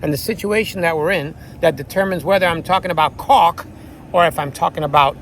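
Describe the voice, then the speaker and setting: A man's voice: a little high, a little fast, fairly bright tone, slight background noise. A Cuban American man from New York with a Spanish-tinged accent, joking around casually while working outdoors on a van roof. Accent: Cuban American Spanish-tinged English. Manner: joking, playful. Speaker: van-life vlogger.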